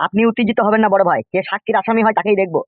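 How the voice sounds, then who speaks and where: Man as a crude cartoon henchman, high voice, chattering very fast, fawning, lo-fi muffled audio. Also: no echo, natural voice. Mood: reassuring. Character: crude, eager henchman loyal to his crooked boss. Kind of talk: animated comedy cartoon dialogue.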